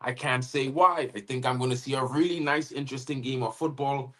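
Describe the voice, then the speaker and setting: A man with a Trinidadian accent chats casually through a live football watchalong stream. His voice sits in an ordinary middle pitch. He talks loosely and sociably, greeting viewers by name and peppering his talk with "you know what I mean". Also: fairly even intonation, brisk. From Trinidad and Tobago. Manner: chatty, shouting out chat names, frequent "you know what I mean". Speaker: watchalong co-host.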